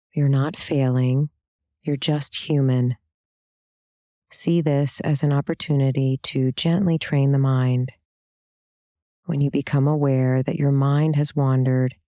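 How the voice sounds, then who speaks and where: A woman leads a guided meditation in a strikingly low, mellow voice. Her delivery is calm and gentle, leaving space between instructions. The sound is close and dry, with little trace of a room. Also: no room sound. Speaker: meditation and yoga teacher. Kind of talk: guided meditation, soothing scripted narration.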